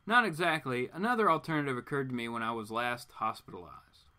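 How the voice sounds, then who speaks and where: A man voicing a smug female botanist villain from a comic book, speaking in a formal, theatrical, flattering way. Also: medium pitch, brisk, smooth. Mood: cool, formal.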